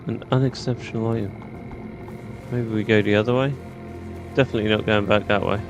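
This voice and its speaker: British man, casual gameplay commentary, lowish pitch, creaky gravelly tone, informal musing, music in background. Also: steady tone, brisk. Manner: chatty, musing to himself, informal. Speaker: gaming host.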